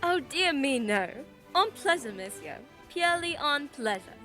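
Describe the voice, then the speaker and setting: A woman acts out a composed female detective in a radio play. Her voice is high and moves freely up and down, yet stays formal and businesslike, with music playing behind her. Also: sing-song, pushed and bright, full character voice. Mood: polite, brisk. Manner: formal, polite, measured.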